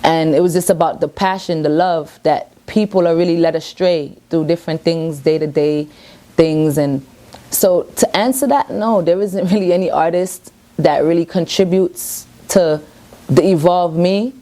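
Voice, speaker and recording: A woman, talking in a low, deep register. She speaks at her home in a relaxed one-on-one interview, earnest and self-assured, her sentences loose and rambling. Her tone is dark and mellow. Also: moderate pace. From Brooklyn, New York. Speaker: reggae artist.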